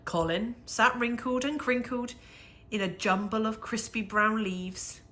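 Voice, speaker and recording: A woman reads a children's picture book aloud in a bright, clear-cut tone. Her pace is slow and measured. She delivers the rhyming verse expressively, leaning on key words for drama. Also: on the high side, slight background noise. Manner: measured, animated storytelling with dramatic emphasis. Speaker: children's story reader.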